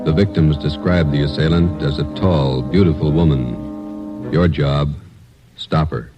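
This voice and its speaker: A man delivering a grave, slow scripted opening narration, addressing the listener directly. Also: a bass voice, steady tone. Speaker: show opener announcer. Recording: old radio recording under loud music.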